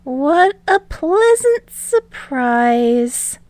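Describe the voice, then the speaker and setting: A woman's voice: high-pitched, very animated, very slow, a little mellow, dry recording, pitched above the performer's own voice, exaggerated voice. A woman voicing a frazzled, snarky teenage boy in an audiobook, whiny, indignant and sarcastic. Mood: exasperated. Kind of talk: audiobook character voice for fan fiction.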